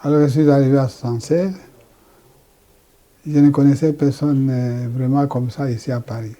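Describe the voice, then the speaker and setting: An older man from Congo, a pastor recounting his life story slowly and haltingly. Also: medium pitch, steady tone, dark tone. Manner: slow, halting, repeats words. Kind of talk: personal testimony.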